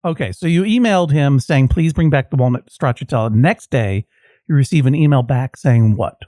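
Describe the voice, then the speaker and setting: A man's voice: medium pitch, normal speed, mellow, no room sound, clean studio sound. A man hosting a podcast as a mock judge, questioning guests with wry jokes and rambling asides. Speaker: podcast host acting as judge. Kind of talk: playful comedic questioning.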